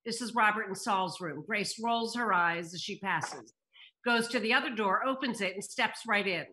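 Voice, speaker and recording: Bright-voiced older woman reading stage directions. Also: medium pitch, moderate pace. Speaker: table read host reading stage directions. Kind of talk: scripted narration. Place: room at home, on a video call. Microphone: computer mic on a video call.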